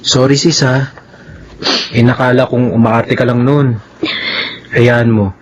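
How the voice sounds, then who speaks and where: A man's voice: mid-range voice, steady tone, takes it slowly, a little hiss or hum, natural voice. A man playing an earnest Filipino older brother, dull recording. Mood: concerned, apologetic. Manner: earnest, gentle. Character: caring, protective young older brother, respectful son. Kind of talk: Filipino radio drama acting. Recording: dull lo-fi radio drama track.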